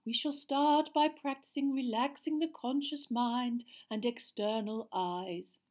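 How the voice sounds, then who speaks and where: A woman putting on an airy, mystical older witch of a teacher who murmurs her portents slowly and breathily. The voice floats high above her own, and everything comes out drawn-out and theatrical, as in a storybook reading. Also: steady tone, darkish tone, close to an everyday voice. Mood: dreamy mystic. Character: dreamy, mystical older female divination teacher.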